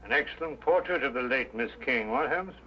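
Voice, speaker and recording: A man with an English accent playing a genial, eager gentleman doctor in a vintage detective drama, polite and easily surprised, on an old recording. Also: medium pitch, expressive, fairly quick, natural voice. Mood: genial, affronted. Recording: dull, hissy old broadcast recording.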